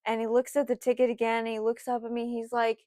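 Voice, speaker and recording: Young woman, twenties, casual vlog storytelling, rambling with fillers, slightly high voice. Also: monotone, quick pace, dry recording, studio quality. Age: 20s. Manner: rambling, lots of "like" and "you know", voice drops soft at times. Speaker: vlogger.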